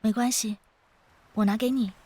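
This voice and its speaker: A woman playing an ageless, gentle and wistful ferrywoman of souls in an audio drama, speaking in a reflective, poetic way with a quiet teasing edge. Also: a little high, steady tone, moderate pace, natural voice. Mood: tender, solemn. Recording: studio voice over background music.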